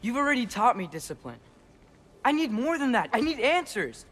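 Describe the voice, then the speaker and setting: High-voiced earnest teenage boy. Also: big pitch swings, normal speed, a bit bright, heavily acted. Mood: pleading, defiant. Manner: earnest, pleading, questioning. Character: earnest, restless teenage boy, a warrior god's son.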